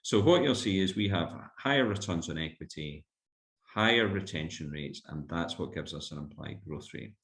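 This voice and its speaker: A man's voice: low voice, lively intonation, normal speed, vocal fry, a bit bright. A man explaining investment concepts to investors in a webinar, with fillers and restarts as he goes. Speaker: fund manager presenting a webinar.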